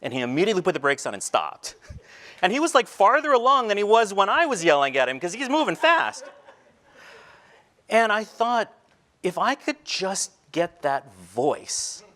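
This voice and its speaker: A middle-aged teacher telling personal stories in a talk on a large indoor stage, shifting between quiet reflective moments and light humor. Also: male voice, high-pitched, huge pitch swings, moderate pace. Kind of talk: storytelling keynote talk. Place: large stage before a seated audience, indoors. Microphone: headset mic.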